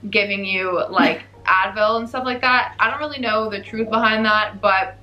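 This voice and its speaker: A woman's voice: mid-range voice, moderate pace, highs cut off. A young Canadian woman vlogger with a Canadian accent, explaining items one by one in an upbeat way. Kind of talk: show-and-tell packing vlog.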